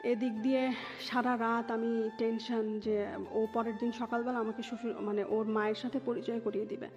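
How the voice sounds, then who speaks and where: A woman's voice: fairly high pitch, little pitch movement, moderate pace, darkish tone. A woman with a Bangladeshi accent telling her own story conversationally as an interview guest, slipping English words into her speech. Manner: mixes English words into Bengali. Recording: dull sound, long reverb, background music.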